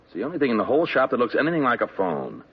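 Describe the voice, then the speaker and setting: A man's voice: mid-range voice, big pitch swings, racing, natural voice. A man playing a fussy, peevish tailor. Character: fussy, exasperated male tailor shop owner. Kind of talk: 1950s radio drama acting. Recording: dull lo-fi old radio broadcast.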